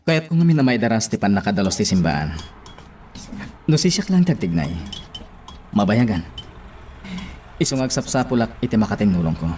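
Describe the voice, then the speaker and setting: Low-voiced earnest Filipino priest. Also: male voice, very animated, normal speed, long pauses, noisy background. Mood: earnest, friendly. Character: calm, earnest, devout male parish priest. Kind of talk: Filipino radio drama acting. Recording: music bed under the voice.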